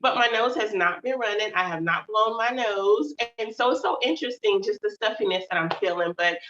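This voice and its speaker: A woman's voice: medium pitch, fairly quick, fairly bright tone, roomy sound, highs cut off, a little hiss or hum. A woman hosting her own channel, chatting casually to her audience, calling them "y'all," and sounding slightly nasal from a stuffy nose. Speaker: author and channel host. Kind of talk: casual livestream chat.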